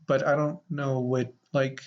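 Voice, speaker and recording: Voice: medium pitch, little pitch movement, a little slow, dull audio. A podcast host improvising a comedy audio adventure in casual banter, with a rambling, playful way of talking. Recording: noisy background.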